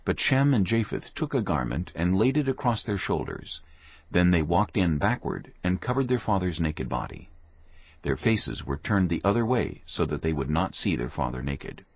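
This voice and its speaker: Deep, gravelly male scripture narrator. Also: big pitch swings, moderate pace, no echo. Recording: dull audio with loud music behind.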